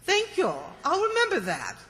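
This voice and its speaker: A woman playing an indignant, demanding busybody in old-time radio comedy, loud and scolding. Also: extremely high pitch, huge pitch swings, normal speed, full character voice. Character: shrill, indignant, bossy woman at bus depot. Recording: echoey hall, long reverb.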